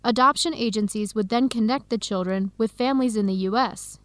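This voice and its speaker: An actress playing an earnest young American woman researcher in a radio drama, with clear, expressive, carefully enunciated speech. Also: a little high, brisk, dry recording, lightly acted. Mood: earnest, explanatory. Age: young adult. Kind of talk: scripted radio drama dialogue.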